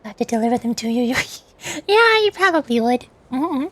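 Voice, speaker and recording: A high-voiced woman streaming a game and chatting casually. She speaks haltingly, circling back over her words, and the sound is close and dry. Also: big pitch swings, a bit bright, no room sound. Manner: hesitant, halting, repeats words. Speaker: VTuber streamer. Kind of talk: casual gaming stream chat.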